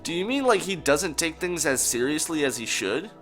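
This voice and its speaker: A man's voice: a little high, very animated, moderate pace, a bit bright, soft background music. A young man co-hosting a casual chat, joking, swearing and slipping into mock-dramatic bits. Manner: profane, jokey, mock-dramatic outbursts. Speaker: podcast co-host.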